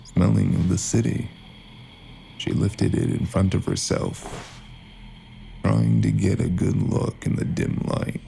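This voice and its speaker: A man's voice: deep voice, fairly even intonation, slow, gravelly, pauses often, mellow, slight background noise, exaggerated voice. A man playing a cold, calculating killer who narrates a cyberpunk noir audio drama in ominous, clipped phrases. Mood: ominous, suspenseful.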